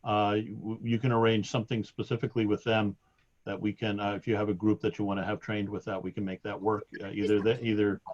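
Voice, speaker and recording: A low-pitched man answers questions on an online webinar, hedging and joking at his own expense. His voice is loud and carries well. The audio is dull and lo-fi. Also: flat delivery, brisk. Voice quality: loud projecting voice. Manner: hesitant, self-deprecating, joking. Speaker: sports department staff.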